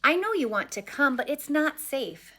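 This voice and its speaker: A woman's voice: high voice, big pitch swings, normal speed, a bit bright, pitched above the performer's own voice. A woman voicing an earnest, tender young soldier in a children's storybook.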